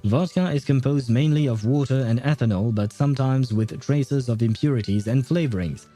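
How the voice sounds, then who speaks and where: A man's voice: medium pitch, normal speed, dark tone, soft background music. A young man chatting casually on a game livestream, thinking aloud and laughing often as he reads and reacts. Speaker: gaming livestreamer.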